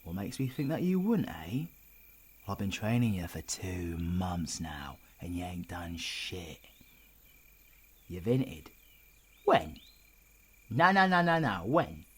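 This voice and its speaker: Husky, low-voiced British supervillain, acted by a man. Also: sing-song, takes it slowly, long pauses, heavily acted. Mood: teasing. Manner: gruff, sardonic, swearing banter. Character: cocky, irritable, teasing male supervillain with dark magic. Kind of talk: audio roleplay, spoken intimately to the listener.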